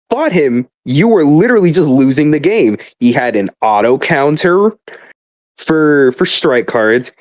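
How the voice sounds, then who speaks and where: A man's voice: medium pitch, darkish tone, no room sound. A man ranting casually about a video game, exasperated and emphatic, swearing often and repeating words as he builds his points. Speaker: gamer.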